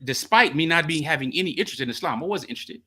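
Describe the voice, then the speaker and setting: A man hosting a call-in advice show as a coach, talking in an animated, slangy storytelling way. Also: a little high, racing, breathy edge.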